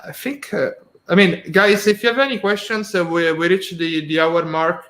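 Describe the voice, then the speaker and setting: A slightly higher-voiced man hosts a casual live stream interview. He talks in an easy, chatty way, laughs often and fills his pauses with "uh". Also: steady tone, fairly bright tone.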